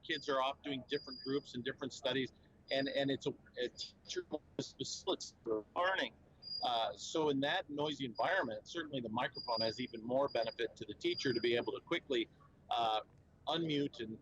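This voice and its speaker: A man's voice: medium pitch, a bit bright, a little hiss or hum, low-quality recording. A man speaking as a company spokesman at a trade show, explaining in an earnest, fluent way with frequent fillers and restarts. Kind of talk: conversational explainer interview.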